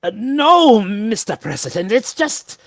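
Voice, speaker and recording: A woman's voice: medium pitch, very animated, slow, creaky, dry recording, studio quality, full character voice. A woman voicing a nervous, deferential aide to a villainous president in a comic book reading, hesitant and pleading. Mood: hesitant, apologetic. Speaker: comic dub voice reader.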